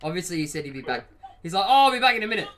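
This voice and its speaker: Excitable man streaming a game. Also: high voice, very fast, a little hiss or hum. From Australia. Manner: excitable, swears, loud outbursts at the game. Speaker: streamer playing a football video game. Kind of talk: live stream commentary and chat. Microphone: headset.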